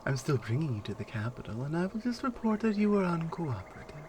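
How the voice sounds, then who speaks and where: A woman's voice: low voice, big pitch swings, fast talker, very noisy. A woman playing a big, strong Amazonian warrior in an ASMR roleplay, commanding and amused, with a teasing, condescending, self-assured way of talking. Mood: firm, curious.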